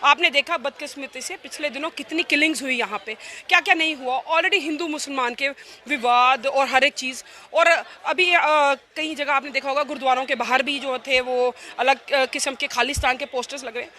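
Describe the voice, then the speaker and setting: A woman politician argues insistently in a press statement. Her voice is high, bright and forceful. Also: fairly quick, few pauses, slight background noise. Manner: insistent, argumentative. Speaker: opposition municipal councillor.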